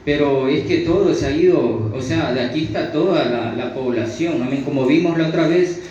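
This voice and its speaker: A man's voice: on the high side, mellow. Latin American man preaching in a very echoey room. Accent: Latin American Spanish. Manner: punctuates phrases with amen, repetitive, halting restarts. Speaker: religious teacher, preacher. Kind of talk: sermon, extemporaneous Bible teaching. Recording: very echoey room, distant mic, dull sound, faint noise.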